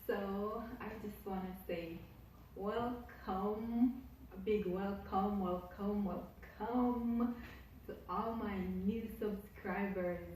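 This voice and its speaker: A woman's voice: medium pitch, steady tone, takes it slowly, darkish tone, heavy reverb, distant mic, slight background noise, low-quality recording. A Jamaican woman vlogging casually to her camera, effusive and repetitive as she piles up her thanks. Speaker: YouTuber. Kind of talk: casual vlog talk to camera.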